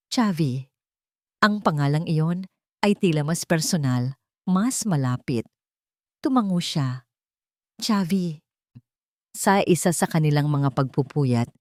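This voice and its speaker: A woman narrating a scripted romance story in an expressive, sentimental storytelling manner. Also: deep voice, dry recording, clean studio sound.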